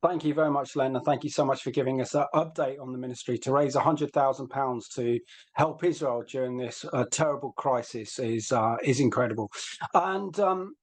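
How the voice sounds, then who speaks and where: A man hosting an online webinar as interviewer, speaking in a halting way with frequent ums. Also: mid-range voice. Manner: hesitant, halting delivery with many ums.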